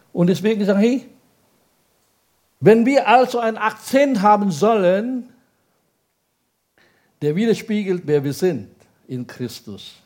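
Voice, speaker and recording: A male pastor preaching in a language that is not his first, peppering his sermon with rhetorical questions and quick checks to the congregation. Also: on the high side, a little mellow. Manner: speaks German as a second language.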